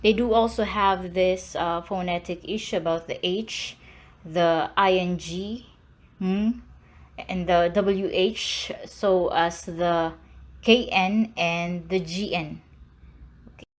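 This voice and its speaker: A woman with a Filipino accent, an English teacher giving a deliberate lecture and sounding out speech sounds one by one. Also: fairly low pitch, fairly even intonation. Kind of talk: slow, deliberate classroom lecture.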